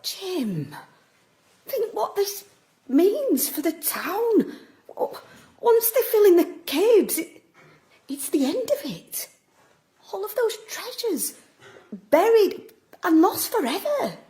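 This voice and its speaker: A woman's voice: very high-pitched, sing-song, slow pace, long pauses, warm tone, heavily acted. A woman playing a passionate, combative middle-aged local campaigner in an audio drama. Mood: hushed, pleading. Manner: combative, sarcastic, emphatic. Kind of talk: stage-like audio drama acting.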